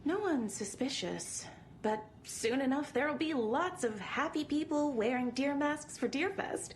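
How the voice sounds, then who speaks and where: An actress playing a dreamy, blithely chatty small-town waitress in a video game. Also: female voice, high-pitched, expressive, measured pace, a little hiss or hum. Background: music.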